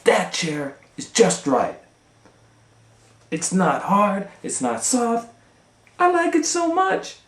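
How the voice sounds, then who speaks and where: A man's voice: sits high, wildly swooping pitch, slow, long pauses, a bit bright, reverberant, pitched above the performer's own voice, heavily acted. A man voicing a fussy, self-indulgent little girl who muses aloud and exclaims in a children's storybook reading. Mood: delighted, fussy.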